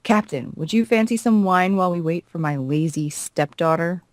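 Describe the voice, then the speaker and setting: A woman's voice: fairly low pitch, moderate pace, no echo, lightly acted. A woman voicing a stern, commanding stepmother with a coolly formal manner in a visual novel. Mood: coolly polite. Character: stern, imperious stepmother, a lady of the house. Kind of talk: visual novel read-aloud.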